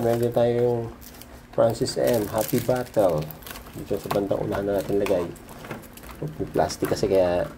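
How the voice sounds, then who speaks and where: Slow, low-voiced Filipino man, noisy recording. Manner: halting, muttering to himself while handling things. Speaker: vlogger showing his cassette collection. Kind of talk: casual unscripted show-and-tell. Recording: noisy recording with handling clicks.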